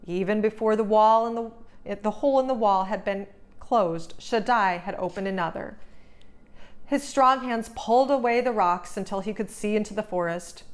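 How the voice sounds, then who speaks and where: A woman narrating a children's storybook as a read-aloud host, with a dramatic, expressive storytelling delivery. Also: mid-range voice, normal speed.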